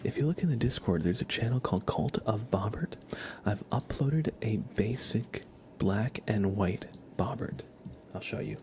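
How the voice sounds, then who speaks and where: A man hosting an ASMR livestream, chatting casually and softly, often slipping toward a whisper, with noise behind him. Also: on the low side, animated, normal speed. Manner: soft-spoken, often drops to a whisper. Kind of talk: soft casual ASMR chat. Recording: clear background noise on livestream.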